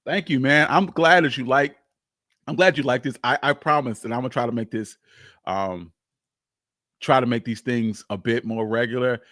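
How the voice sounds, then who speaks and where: A man hosting a casual, unscripted live history stream, speaking candidly in a halting, rambling way. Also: mid-range voice, a bit bright, dry recording, studio quality. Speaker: livestream host, history storyteller.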